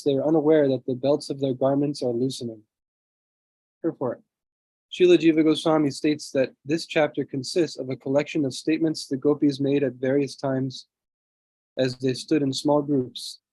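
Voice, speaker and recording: A man leading a scripture class over a video call, reading translations aloud and often clearing his throat, with a low voice. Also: dark tone, no room sound, low-quality recording. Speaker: class speaker. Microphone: computer mic on a video call.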